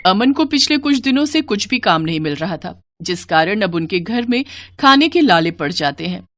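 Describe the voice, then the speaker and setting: A woman's voice: medium pitch, sing-song, normal speed, a bit bright, no echo. A woman storyteller reading scripted narration for a children's moral tale.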